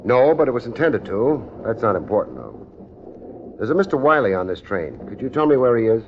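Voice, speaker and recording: An actor playing a wry, hard-boiled private investigator in a 1950s radio drama, with a dry, laconic delivery. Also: male voice, mid-range voice, very animated, brisk, slight background noise. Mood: casual, probing. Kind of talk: 1950s radio detective drama acting and narration. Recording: dull 1950s radio recording, music behind.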